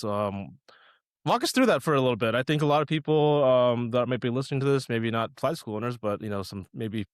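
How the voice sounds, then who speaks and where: A man's voice: medium pitch, clear, resonant tone, no echo, clean studio sound. A man hosting a podcast, talking in an informal, conversational way. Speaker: podcast host. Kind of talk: casual podcast talk.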